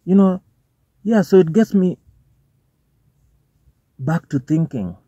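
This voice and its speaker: A man's voice: high voice, animated, slow pace, mellow, breathy edge, no echo. Kenyan man ranting in a car. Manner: informal, swearing, switching between English and Swahili slang. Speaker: vlogger. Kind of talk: casual commentary to camera. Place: parked car, indoors. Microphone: phone or camera on the dashboard. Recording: dull, dry car recording.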